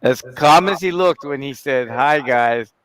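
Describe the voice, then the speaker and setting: A man's voice: mid-range voice, a little slow, a bit bright. A man who heads the organization, chatting casually on a live talk show, cracking jokes and restarting his sentences with fillers. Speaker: head of the organization. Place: live broadcast studio.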